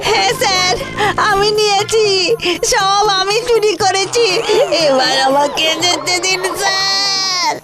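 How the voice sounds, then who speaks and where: A woman's voice: very high voice, steady tone, slow, over loud music. A woman voicing a sly, long-haired schoolboy thief in a cartoon who whines and pleads. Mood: pleading. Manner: whiny, pleading.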